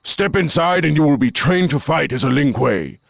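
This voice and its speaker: Proud warrior guard, gravelly, animated pitch, commanding, formal, game cutscene. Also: male voice, normal speed, dry recording, studio quality. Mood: impressed. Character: stern, proud male warrior temple guard. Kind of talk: video game cutscene voice acting.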